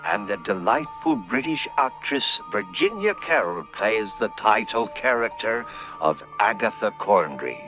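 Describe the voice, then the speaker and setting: Slow, ominous male radio-play announcer. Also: lowish voice, sing-song, lo-fi sound, music in the background. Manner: ominous, theatrical. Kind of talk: scripted spooky radio introduction.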